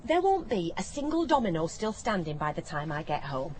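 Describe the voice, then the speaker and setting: A woman's voice: on the low side, very animated, darkish tone, a little hiss or hum. A woman playing a brash, nosy older barmaid with a Northern English accent, blunt and bantering, in a naturalistic radio play. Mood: defiant, scornful. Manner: blunt, bantering, quick to retort.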